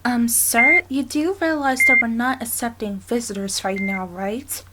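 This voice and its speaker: A calm female hospital staffer played by a woman with a fairly high voice. She speaks politely and carefully, with some hesitation, as in an amateur audio drama. The recording is very noisy. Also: unhurried, close to an everyday voice. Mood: polite, hesitant. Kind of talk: dramatic ASMR roleplay acting.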